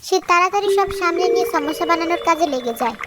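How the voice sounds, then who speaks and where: A woman's voice: very high voice, fast, background noise. A woman narrator reading scripted moral-story narration for a cartoon. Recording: music behind the voice.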